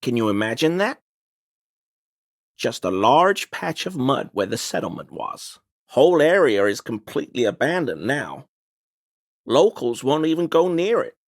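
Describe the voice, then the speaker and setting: Game role: fussy, defensive male cryptid obsessive; pedantic and wordy, lively swooping intonation, close dry sound. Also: medium pitch, measured pace, no room sound. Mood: earnest, intrigued. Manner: pedantic, wordy, evasive, prone to grumbling. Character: fussy defensive man, amateur cryptid enthusiast and conspiracy believer. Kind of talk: video game character dialogue.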